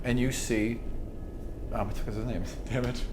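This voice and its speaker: A man's voice: mid-range voice, fairly even intonation, normal speed, noisy background. A man improvising tabletop game narration as game master, descriptive and conversational. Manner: conversational, descriptive, swears casually.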